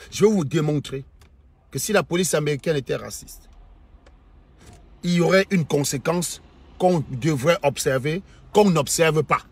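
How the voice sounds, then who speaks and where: A man's voice: on the high side, slightly rough. A middle-aged man with a Cameroonian accent, delivering an opinionated live monologue to online followers in a mocking, combative manner, repeating phrases for emphasis. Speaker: livestream host.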